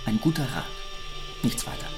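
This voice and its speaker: An actor playing a smug, defiant activist leader under accusation in a radio crime drama, condescending and mocking with veiled menace. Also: male voice, medium pitch, animated, normal speed, vocal fry, distant mic, over music. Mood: coolly menacing. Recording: echoing room, away from the mic.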